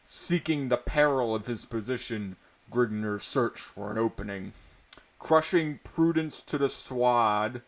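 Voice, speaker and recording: A man's voice: mid-range voice, unhurried. A man reading a pulp fantasy story aloud as narrator, in a theatrical, mock-serious dramatic style.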